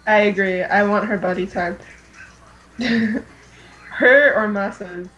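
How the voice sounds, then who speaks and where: A woman's voice: mid-range voice, slow pace, a bit bright, lo-fi sound. A woman co-hosting a fan podcast in an informal, giggly, casual chat style.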